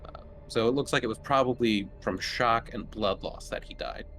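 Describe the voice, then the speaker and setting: A man's voice: mid-range voice, normal speed. A man narrating a tabletop horror game as game master, conversational and descriptive. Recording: noticeable background noise.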